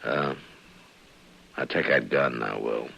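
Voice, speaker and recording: An American man in a 1950s radio western, playing a calm, steady marshal with an authoritative manner and gravelly voice. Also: a bass voice, slow pace, exaggerated voice. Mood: quiet authority. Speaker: lead radio actor. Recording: muffled, noisy old radio recording.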